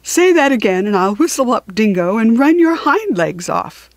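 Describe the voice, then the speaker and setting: A woman's voice: on the high side, very animated, moderate pace, no echo. Woman as a lordly, imperious storybook god. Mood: threatening. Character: lordly gentleman god Quong, unhurried and commanding.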